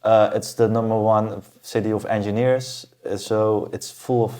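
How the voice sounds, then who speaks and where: A man from Germany, a podcast guest, talking about his path in a steady, thoughtful way with frequent "uh" fillers. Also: on the low side, moderate pace. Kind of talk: casual interview talk.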